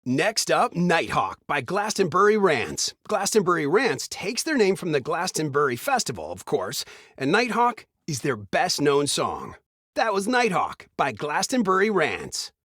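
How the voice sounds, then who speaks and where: An actor playing an upbeat retro radio DJ in a video game, with a smooth announcer's delivery of scripted patter. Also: male voice, fairly high pitch, wildly swooping pitch, unhurried, a bit bright, no room sound, clean studio sound, full character voice. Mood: enthusiastic.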